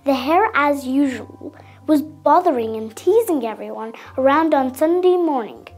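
High-pitched little girl telling a story. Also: normal speed, soft background music. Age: young child. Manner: expressive, dramatic read-aloud delivery. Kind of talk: scripted children's story narration.

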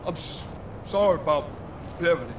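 An actor playing a muddled, irritable old gun dealer in a video game, with halting, confused delivery. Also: male voice, on the high side, lively intonation, a little hiss or hum, close to an everyday voice. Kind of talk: video game cutscene acting.

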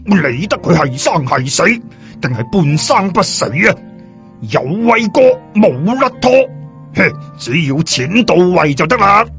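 A man's voice: fairly high pitch, sing-song, measured pace, creaky, fairly bright tone, soft background music, full character voice. A man voicing a cocky, scoffing tough guy in an audiobook, boastful and sneering. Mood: cocky, dismissive. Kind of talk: audiobook character dialogue.

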